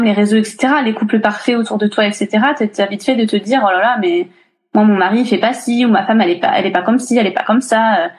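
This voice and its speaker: A woman in her thirties talking casually in an interview, chatty and full of fillers and restarts. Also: mid-range voice. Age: 30s. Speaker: interviewee, single mother. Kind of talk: casual chat.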